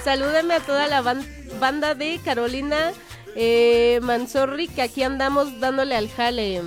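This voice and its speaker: A woman's voice: high-pitched, steady tone, normal speed, faint music behind. A Mexican woman co-hosting a radio show, reading out listeners' greetings in a chatty, easygoing way. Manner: chatty, reads out greetings with light laughs. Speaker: radio co-host.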